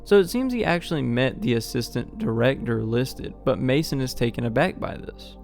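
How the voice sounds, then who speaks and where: A man's voice: medium pitch, lively intonation, moderate pace, creaky, darkish tone, soft background music. A man hosting a video essay, giving a scripted explainer narration.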